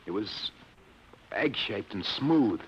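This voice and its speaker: An actor in a 1950s radio drama playing a shaken, earnest young test pilot, halting yet insistent, on a vintage broadcast recording. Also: male voice, on the low side, lively intonation, slight background noise, rough recording. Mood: haunted, dazed.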